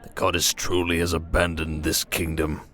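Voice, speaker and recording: A man's voice: sits low, monotone, normal speed, fairly bright tone. A man playing a bitter old tyrant king and sorcerer in an audio drama, speaking with menacing, theatrical scorn. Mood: resigned, ominous. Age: older. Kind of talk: dramatic audio roleplay acting.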